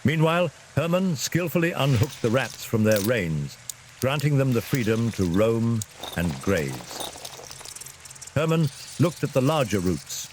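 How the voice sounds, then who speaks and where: Animated male fantasy narrator. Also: medium pitch, unhurried, a little hiss or hum. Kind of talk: dramatic scripted fantasy story narration.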